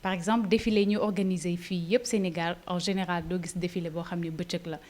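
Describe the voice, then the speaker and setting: Chatty young Senegalese woman, lower voice. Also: steady tone, fast talker, darkish tone. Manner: chatty, laughs easily, hesitant fillers. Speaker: fashion model, interview guest. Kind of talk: casual interview, mixing Wolof and French.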